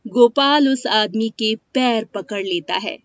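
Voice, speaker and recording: A woman's voice: fairly high pitch, takes it slowly, no echo. A woman reading a scripted moral story as its narrator. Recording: dry, dull lo-fi studio sound.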